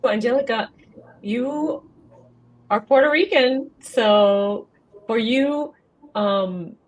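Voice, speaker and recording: A woman interviewer asking questions in a slow, measured way. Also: fairly high pitch, rough recording.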